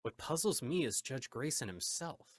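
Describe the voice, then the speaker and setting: A man's voice: medium pitch, sing-song, moderate pace, dry recording, studio quality. A man playing a resolute, grave masked vigilante hero in old-time radio drama style. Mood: grave, determined. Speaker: actor in a radio play.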